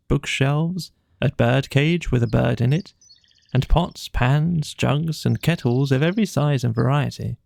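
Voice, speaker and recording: A man's voice: medium pitch, creaky, a little mellow, no echo. A man reading scripted narration for a children's bedtime audiobook.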